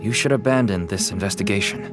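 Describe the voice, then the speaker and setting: A man's voice: on the low side, fast talker, lightly acted. An actor playing an anxious, devoted middle-aged merchant father turned smuggler in a video game, speaking earnestly and pleadingly. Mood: firm, warning. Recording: music playing behind the voice.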